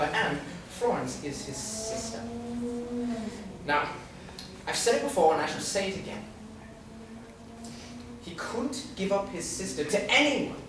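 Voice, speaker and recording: A man's voice: high-pitched, a bit bright. An actor playing a defensive, flustered upper-class man suspected of murder, theatrical and stammering, in a staged murder-mystery reading. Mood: accusing. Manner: theatrical, stammering, old-fashioned genteel phrasing. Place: live staged reading in an echoey room. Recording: echoey room, distant mic, faint noise.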